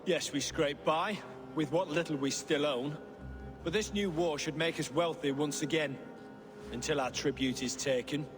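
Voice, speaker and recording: Brief: man voicing a wry, evasive puppet king, slightly high voice, long reverb. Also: normal speed, music in the background, natural voice. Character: sly scheming middle-aged Saxon puppet king. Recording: game audio with long reverb.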